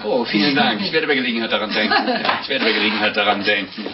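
An actor playing an evasive, anxious monk, hesitant and stiffly courteous, in a radio play. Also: male voice, mid-range voice, animated, very fast, lightly acted. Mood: sheepish. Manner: hesitant, stammering, mock-courteous. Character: uneasy, evasive monk, politely squirming man of God. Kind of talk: German radio play acting. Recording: dull, noisy old radio recording.

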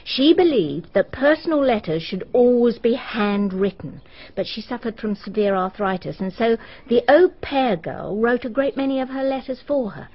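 An actress playing a chatty, gossipy village woman in a BBC radio drama, telling stories in a brisk, knowing manner. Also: fairly high pitch, slightly rough. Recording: dull archive radio recording.

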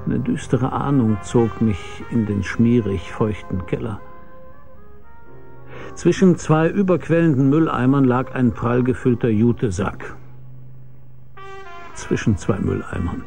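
An actor playing a weary, laconic, deadpan hard-boiled private detective who narrates his case in a radio crime drama. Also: mid-range voice, unhurried, gravelly, pauses often, faint music behind. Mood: grim, deadpan.

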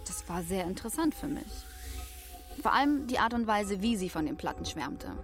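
Actress as young woman in a radio play, earnest, bit hesitant, searching, medium pitch. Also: sing-song, normal speed, faint music behind, lightly acted. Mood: warm, reflective. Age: young adult. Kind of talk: naturalistic radio play acting.

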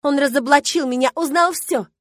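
A woman voicing a furious, spiteful younger woman in an audiobook, shouting accusations shrilly at her romantic rival. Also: high voice, moderate pace, no echo, clean studio sound, pitched above the performer's own voice. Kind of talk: dramatic audiobook character dialogue.

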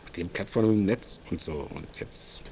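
An actor playing a laid-back handyman who lets flats, with an offhand, colloquial manner in casual radio play dialogue. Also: lowish voice, moderate pace, a little hiss or hum, lightly acted.

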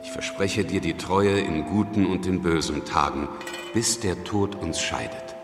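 Very deep-voiced, worldly young lawyer. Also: male voice, unhurried, over music. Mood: solemn. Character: worldly, blunt male lawyer in his late twenties. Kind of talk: radio drama acting.

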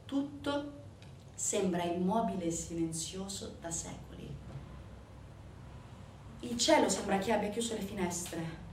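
A woman performing a dramatic scripted theatre narration, telling the story emphatically with deliberate pauses and repetitions. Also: mid-range voice, expressive, a little mellow. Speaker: stage actress performing a theatre monologue. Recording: echoey room from a distance, faint noise.

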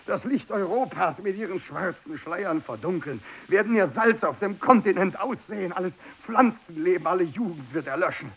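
Tormented, gravelly renegade priest. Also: male voice, fairly high pitch, a bit bright, full character voice. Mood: fanatical exultation. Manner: declamatory, anguished, swinging between cries and whispers. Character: fanatical, tormented renegade priest, parched and raving. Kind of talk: 1960s German radio drama monologue. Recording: faint tape hiss, 1960s radio production.

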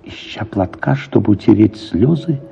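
A man reading a first-person literary story for radio in an expressive, reflective manner, with drawn-out words and pauses. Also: fairly low pitch, gravelly. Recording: slightly dull radio sound, music behind. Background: soft music.

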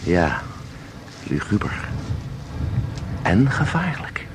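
An actor playing a mysterious, commanding guide in a Dutch radio play, explaining in measured words and giving terse orders. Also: male voice, fairly low pitch, big pitch swings, background noise, exaggerated voice. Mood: ominous. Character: mysterious, commanding male guide through a dangerous place.

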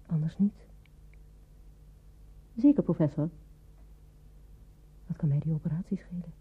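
A woman playing an anxious, timid hospital nurse in a radio drama, with hushed inner thoughts and dutiful replies, on a tinny old recording. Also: lowish voice, measured pace, husky. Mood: nervously dutiful. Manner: hushed whispered asides between dutiful phone replies.

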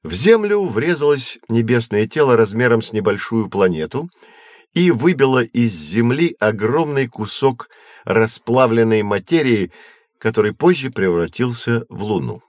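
An actor playing a patient, knowledgeable man who explains astronomy to children in a measured, reassuring way in an educational audio drama. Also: medium pitch, big pitch swings, no room sound, clean studio sound. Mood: explanatory, patient.

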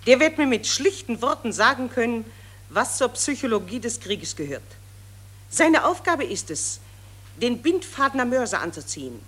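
An actress playing a pushy, gushing female war reporter in a radio play, pressing a soldier with insistent questions in a breathy voice. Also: fairly high pitch, very animated, normal speed, a bit bright, exaggerated voice.

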